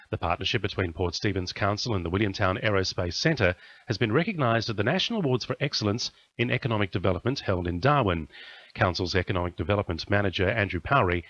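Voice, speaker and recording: Low-pitched Australian man reading radio news. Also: a bit bright, muffled recording. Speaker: radio newsreader. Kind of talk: scripted news bulletin.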